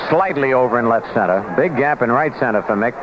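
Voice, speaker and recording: American baseball announcer on noisy old radio. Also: male voice, mid-range voice, expressive, brisk. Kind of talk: live radio baseball commentary. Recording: noisy, dull vintage AM radio broadcast.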